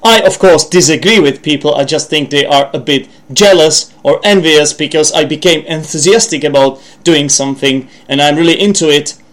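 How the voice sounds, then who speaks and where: A man's voice: a little high, normal speed, few pauses, fairly bright tone. A Czech man with a Czech accent gives an informal English lesson as a podcast host, rambling, correcting himself and checking in with the listener. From Czech Republic. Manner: rambling, self-correcting, with frequent asides and check-in questions. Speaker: language podcast host and teacher.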